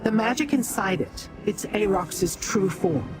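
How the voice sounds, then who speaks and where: A woman playing a solemn mystic guide in a fantasy video game, measured and formal, with music behind. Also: medium pitch, lively intonation, lightly acted. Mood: grave, urgent. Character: solemn, urgent mortal-addressing mystic guide and schemer.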